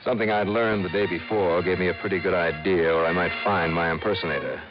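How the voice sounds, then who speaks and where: A man's voice: sits low, fairly even intonation, fast, natural voice. An American man playing a tough, wry insurance investigator in an old-time radio detective drama. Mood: cool, narrating. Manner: hard-boiled, assertive. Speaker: actor and first-person narrator of detective drama. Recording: muffled vintage radio recording, music behind.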